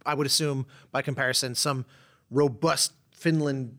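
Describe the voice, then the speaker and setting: A man's voice: mid-range voice, fairly even intonation, normal speed, fairly bright tone. A man hosting a podcast, interviewing casually in person with a wordy, digressive, wry way of talking. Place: in person at a table, at a conference.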